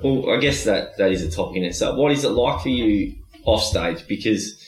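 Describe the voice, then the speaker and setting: A man's voice: lowish voice, expressive, brisk, a little mellow, roomy sound, rough recording. A man hosting a casual interview who asks questions and gives short agreeing replies. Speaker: podcast host.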